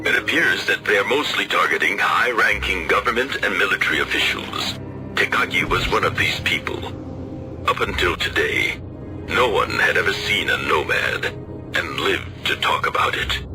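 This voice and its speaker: An actor playing a solemn lord of a secret order in a video game, explaining things formally and with measured weight. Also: male voice, low voice, lively intonation. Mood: grave. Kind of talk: dramatic video game cutscene acting. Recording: very loud background music.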